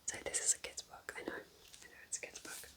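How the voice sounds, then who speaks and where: A woman whispering gently and unhurriedly in an ASMR style, rambling softly as she recommends things close to the microphone. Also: fairly quick. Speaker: ASMR host playing a kind witch recommending books.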